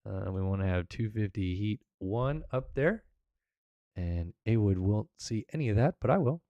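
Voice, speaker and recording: A man's voice: on the low side, lively intonation, moderate pace, dark tone, dry recording, highs cut off. A man doing play-by-play commentary for an esports race, his voice still recovering after being lost, in an upbeat announcer manner. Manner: upbeat announcer, reading sponsors and plugs.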